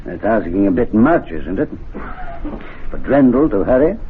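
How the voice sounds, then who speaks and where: An actor in a radio play voicing a stuffy, peevish gentlemen's club member, formal, sardonic and dismissive. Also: male voice, on the low side, sing-song, normal speed. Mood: wry, fussy. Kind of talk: radio drama acting. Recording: noisy narrow-band vintage radio recording.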